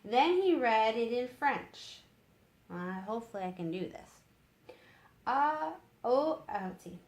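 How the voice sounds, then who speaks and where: A woman reading a story aloud haltingly, with casual asides. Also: on the high side, sing-song. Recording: echoey room.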